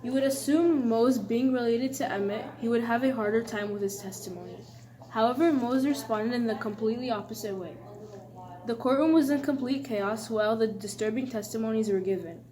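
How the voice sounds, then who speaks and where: A woman with a fairly high voice and a very mellow, rounded tone. She co-presents the news, reading a prepared report aloud. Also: a little fast, low-quality recording.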